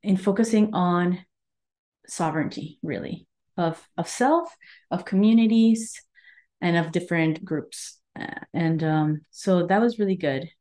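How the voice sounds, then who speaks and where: Mellow low-voiced woman from Mexico and Guatemala. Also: unhurried. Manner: warm, earnest, searching for words. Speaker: wellness coach, guest. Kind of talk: conversational interview.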